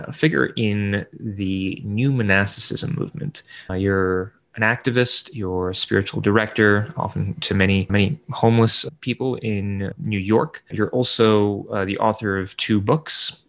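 A man hosting a podcast, introducing a guest and asking questions in a relaxed way, often saying "uh". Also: lowish voice, measured pace, hardly any pauses. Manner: relaxed, with frequent "uh"s. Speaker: podcast host and interviewer.

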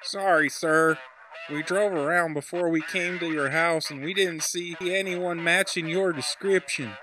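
A man acting a dismissive police officer, drawn-out and condescending, in an amateur audio drama. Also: fairly high pitch, fairly even intonation, noisy background, lightly acted. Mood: apologetic, dismissive. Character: dismissive male police officer, dryly polite, useless.

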